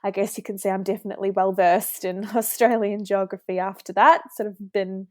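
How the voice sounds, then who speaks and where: A woman's voice: mid-range voice, fairly even intonation, brisk, darkish tone, no room sound, studio quality. A woman in her twenties from New Zealand, a pharmacist guest on a podcast, telling her story in a friendly way with some filler words. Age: 20s. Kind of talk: podcast interview.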